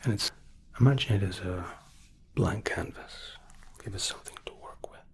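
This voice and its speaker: Male, ASMR spa attendant, breathy whisper, deep pitch, gentle, reassuring. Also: normal speed. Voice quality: whispery, breathy, soft-spoken. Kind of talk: ASMR spa roleplay, softly explaining treatments.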